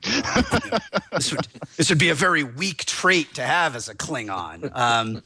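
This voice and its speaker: A man who is a lawyer guest, talking in a rambling, excitable way with lots of restarts. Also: on the high side, normal speed, breathy edge. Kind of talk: animated podcast discussion.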